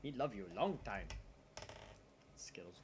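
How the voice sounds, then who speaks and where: A man's voice: fairly low pitch, a little slow. A young man hosting a gaming show, mixing visual novel narration with casual, joking chat. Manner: joking, animated asides. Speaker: gaming channel host. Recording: faint background noise.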